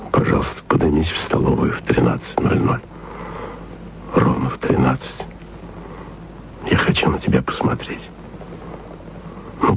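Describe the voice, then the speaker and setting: An actor playing a wry, lovesick male radio journalist who murmurs confiding messages onto tape, often close to a whisper. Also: heavily acted. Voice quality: low gravelly murmur, often near a whisper. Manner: intimate, confiding, self-ironic. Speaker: radio man taping messages to a colleague. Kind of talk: radio drama acting. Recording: noisy tape recording.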